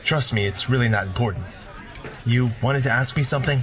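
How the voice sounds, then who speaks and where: A man's voice: mid-range voice, a little fast, some chatter behind, natural voice. A man voicing an easygoing, friendly drifter and former warrior. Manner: relaxed, friendly, wry.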